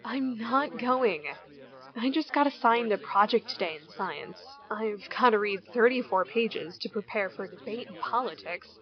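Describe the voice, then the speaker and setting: A woman's voice: on the high side, lively intonation, normal speed, lightly acted. A young woman playing a bored, overworked teenage girl in an audio drama, dry and curt, half-whispering when lost in thought. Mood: weary. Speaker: lead character. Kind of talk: amateur audio drama acting. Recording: muffled lo-fi recording.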